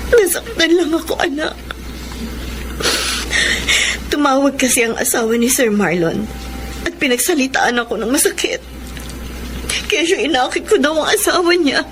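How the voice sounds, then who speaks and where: Humble filipino mom in a radio drama, high voice, emotive, bit of noise behind. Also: female voice, animated, moderate pace. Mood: hurt, tearful. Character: hardworking mother, a masseuse, humble and principled.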